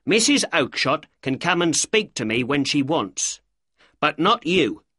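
A narrator voicing a tall, angry male shopkeeper in an audiobook story, shouting and blunt. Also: medium pitch, very animated, unhurried, dry recording, clean studio sound, voice pushed up, exaggerated voice. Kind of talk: audiobook character dialogue.

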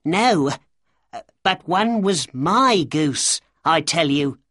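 A narrator voicing a weak, nervous little man in an audiobook story, plaintive and easily excited. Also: medium pitch, slow pace, no room sound, studio quality, pitched above the performer's own voice. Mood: pleading, upset. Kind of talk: audiobook character dialogue.

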